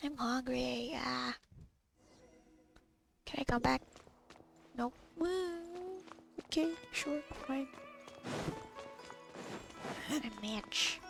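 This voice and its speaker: A woman chats casually through a game playthrough, muttering and reading bits aloud. Her voice is fairly high with a creaky fry, and music plays clearly behind her. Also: extremely slow, long pauses, a bit bright. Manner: muttering to self, reads game text aloud. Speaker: gamer recording a playthrough. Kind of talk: casual gameplay chat.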